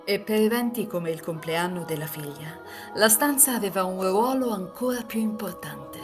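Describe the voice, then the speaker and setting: A woman's voice: mid-range voice, animated, normal speed. A woman in an amateur live reading group, moving between casual chat and scripted narration. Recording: music playing behind the voice.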